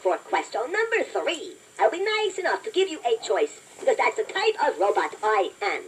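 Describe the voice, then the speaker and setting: A playful toy robot quiz host, with a high, very lively delivery. It talks straight to young players, encouraging them and poking fun at itself. The sound is a slightly noisy cassette played back away from the mic. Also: female voice, recorded from a distance. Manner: encouraging, playful, deliberate, addresses listener directly. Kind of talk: scripted children's quiz narration.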